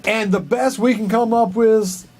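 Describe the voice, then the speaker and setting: A man's voice: sits high, steady tone, measured pace, a little mellow. A man hosting a casual, opinionated discussion and swearing now and then. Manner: profane. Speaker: talk show host. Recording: clear background noise.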